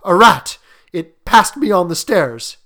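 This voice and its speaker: Trembling old man, performed by a storyteller, fearful, hoarse, raised urgent voice, dramatic horror tale. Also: high voice, expressive, moderate pace, mellow, pitched above the performer's own voice. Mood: terrified. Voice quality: shaking, hoarse.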